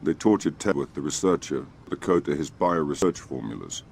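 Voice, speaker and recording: An actor playing a cool, dry-witted British secret agent in a video game, understated and wry. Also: male voice, very deep voice, expressive, brisk, vocal fry, a little mellow, slight background noise, full character voice. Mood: serious, controlled. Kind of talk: restrained cinematic video game acting.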